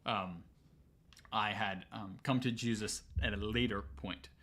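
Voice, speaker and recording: A man's voice: fairly low pitch, unhurried. Casual male streamer answering questions. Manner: relaxed, conversational, explains at length with many "um"s. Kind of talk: casual livestream Q&A.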